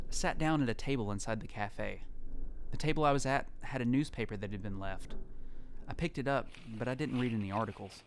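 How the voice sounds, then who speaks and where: Casting: male actor for an uneasy lone survivor narrator, medium pitch, introspective storytelling, set against noticeable ambient noise. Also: lightly acted. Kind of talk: first-person audio drama narration. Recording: clearly noisy background ambience.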